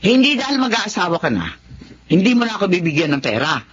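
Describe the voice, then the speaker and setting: A woman's voice: lowish voice, big pitch swings, quick pace, slight background noise. Nagging middle-aged mother, radio drama, swooping delivery, dull lo-fi sound. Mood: demanding. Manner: insistent, reproachful. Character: nagging, money-dependent middle-aged mother of a grown son. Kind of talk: Tagalog radio drama acting.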